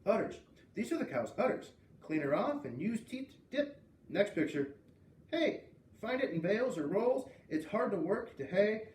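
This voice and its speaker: Storybook schoolboy, done by a man: high, eager, clipped, absorbed in his own facts; distant mic, faint noise. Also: big pitch swings, moderate pace, a little mellow, echoey room. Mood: absorbed, excited.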